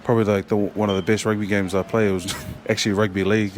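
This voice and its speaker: Deep-voiced New Zealand man, rambling. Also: little pitch movement, a little hiss or hum. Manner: rambling, halting, many fillers and restarts. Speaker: rugby player guest. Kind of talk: casual interview chat.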